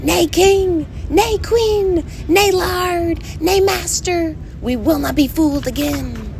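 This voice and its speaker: High, rowdy pixie war cries. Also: slow, background noise, heavily acted. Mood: roaring, defiant. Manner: yelling war cries in dialect. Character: rowdy horde of tiny male pixie warriors.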